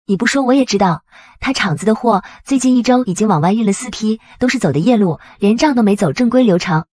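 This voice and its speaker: A woman's voice: medium pitch, animated, fast talker, a little mellow, dry recording, clean studio sound, lightly acted. A woman playing a shrewd, bitter and resolute businesswoman in an audio comic drama. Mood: knowing.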